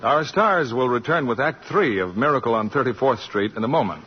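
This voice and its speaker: A man's voice: medium pitch, expressive, brisk, slightly rough, soft background music. A male radio announcer giving a station break and reading a scripted commercial with polished delivery. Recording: muffled lo-fi 1940s radio broadcast.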